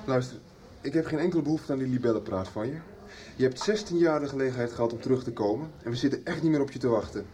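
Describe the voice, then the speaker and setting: A man's voice: mid-range voice, fast talker, close to an everyday voice. An actor playing an angry, protective young man in a radio soap, blunt and commanding in the way he talks. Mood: hostile, angry. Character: angry, protective young man confronting his estranged father. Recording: roomy, noisy 1990s radio drama recording.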